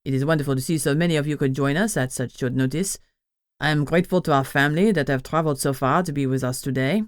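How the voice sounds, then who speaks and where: Pompous, sinister male French witch put on by a woman, low and lecturing, dry audiobook read. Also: fast, no room sound, lightly acted. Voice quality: deep. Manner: pontificating, sinister.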